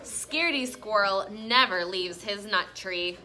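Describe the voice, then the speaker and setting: A woman's voice: mid-range voice, a little slow, sharp tone, a little hiss or hum, rough recording. A woman teaching an elementary class, explaining words and reading aloud in an interactive way, often prompting with questions. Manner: prompting with questions and check-ins. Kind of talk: interactive classroom vocabulary lesson and read-aloud. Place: classroom with pupils.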